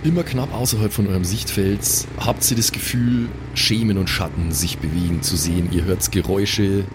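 A man's voice: low voice, normal speed, noisy background. A man, improvising as game master in a colloquial, descriptive way. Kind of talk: improvised tabletop game narration.

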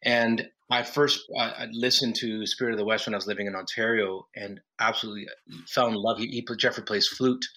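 A lower-pitched man from Mexico with a bright, forward tone talks through a phone line as a guest musician. He rambles easily, restarting sentences as he explains. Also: a little fast. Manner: relaxed, rambling with restarts and laughs. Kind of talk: phone interview.

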